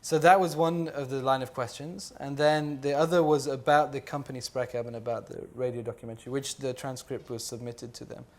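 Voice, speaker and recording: A man's voice: medium pitch, fairly even intonation, a little fast, hardly any pauses. Hesitant man speaking at a panel talk. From Jordan. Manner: hesitant, many fillers and restarts. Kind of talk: reflective panel conversation.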